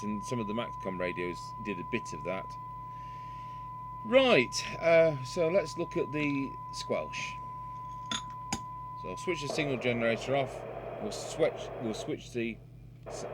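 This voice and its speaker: A man gives an unscripted hands-on repair demonstration, matter-of-fact and thinking aloud as he works. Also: mid-range voice. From United Kingdom. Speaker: radio repair technician and presenter. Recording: noisy workshop recording with electrical hum.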